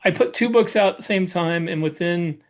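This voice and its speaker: A man's voice: high voice, brisk. A man in his fifties co-hosting a podcast, explaining things casually as a working writer. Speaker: podcast co-host, fiction writer. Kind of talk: casual chat, explaining by analogy.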